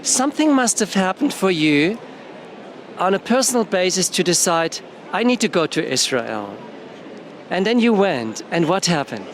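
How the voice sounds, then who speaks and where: A man interviewing a guest, asking gentle, encouraging questions. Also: sits high, big pitch swings, normal speed, a little hiss or hum.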